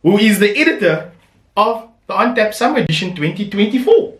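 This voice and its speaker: Male host, keen and chatty, slightly high voice, distant roomy mic. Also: sing-song, a little fast, fairly bright tone. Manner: enthusiastic, filler-heavy, upbeat questions. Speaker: podcast host. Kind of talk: casual interview chat. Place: home room. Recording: echoey room, voice off-mic.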